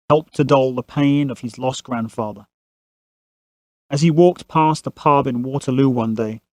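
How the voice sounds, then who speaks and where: A man's voice: mid-range voice, dry recording. A man narrating a family saga as a scripted audio drama storyteller.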